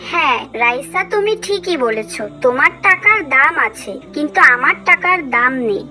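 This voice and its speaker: Woman as a bright-voiced, pious young girl. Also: high voice, expressive, soft background music, full character voice. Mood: earnest reproach. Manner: earnest, preachy, measured. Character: pious, kind young girl, gently admonishing. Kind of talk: moral cartoon voice acting.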